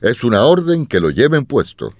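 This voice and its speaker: A man's voice: medium pitch, sing-song, moderate pace, a little mellow, no room sound, clean studio sound. A man playing a commanding medieval king in a radio drama, with a declamatory, regal delivery.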